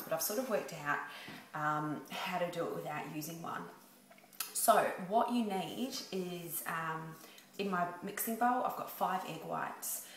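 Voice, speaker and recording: A woman, speaking quietly and chattily as she explains a baking recipe at home. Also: deep voice, reverberant, a little hiss or hum. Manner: quiet, chatty and self-deprecating. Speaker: home baker. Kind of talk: baking tutorial. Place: home kitchen.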